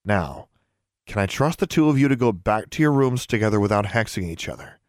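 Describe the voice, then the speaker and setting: A man's voice: lowish voice, lively intonation, fairly quick, no echo, studio quality, lightly acted. A man voicing a bold, confrontational teenage girl in an audiobook story, blunt and firm in the way of a leader.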